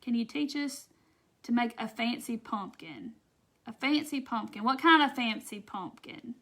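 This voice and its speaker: Host, female, Southern US accent, bright higher voice, chatty and folksy on a craft live stream. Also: lively intonation, a little slow. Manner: chatty, folksy, laughs often, addresses viewers by name. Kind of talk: casual chat reading viewer comments.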